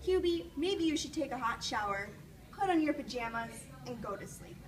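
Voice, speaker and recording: A woman's voice: high voice, expressive, normal speed. A woman voicing a caring, patient mother in a children's storybook read aloud, recorded at a distance from the mic.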